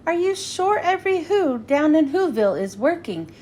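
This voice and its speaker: A woman's voice: high voice, sing-song, moderate pace, warm tone. A woman voicing an earnest, kind-hearted male elephant in a storybook, pleading urgently and emphatically. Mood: encouraging, pleading.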